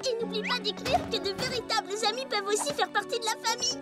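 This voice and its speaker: Bubbly young mare for animation: squeaky-high, gushing, exclamatory, music bed behind. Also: female voice, fast. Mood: proud, playful. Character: bubbly, excitable young female cartoon pony. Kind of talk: animated cartoon dub.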